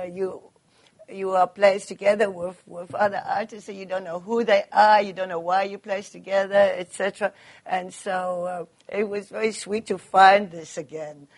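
Slow, halting woman artist lecturing. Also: lowish voice. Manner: halting, many fillers and restarts. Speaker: artist giving a talk. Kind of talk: hesitant lecture on her paintings.

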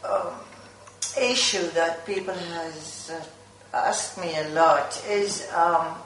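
Slow, halting woman artist lecturing. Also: lowish voice, fairly even intonation, fairly bright tone, slight background noise, rough recording. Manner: halting, many fillers and restarts. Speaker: artist giving a talk. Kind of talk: hesitant lecture on her paintings.

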